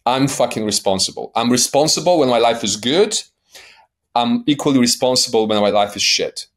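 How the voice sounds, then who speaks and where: A man's voice: medium pitch, moderate pace. A man in his forties with a light Polish accent, a life coach talking as a podcast guest in a blunt, emphatic way, swearing for emphasis and often checking in with "right?". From Poland. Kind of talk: podcast interview.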